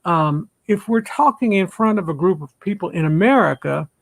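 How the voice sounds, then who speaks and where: Retired pastor host, older man, high gravelly voice, dry close sound, hesitant and rambling. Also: lively intonation, moderate pace, no echo. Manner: rambling, hesitant, many fillers. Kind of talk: casual online chat.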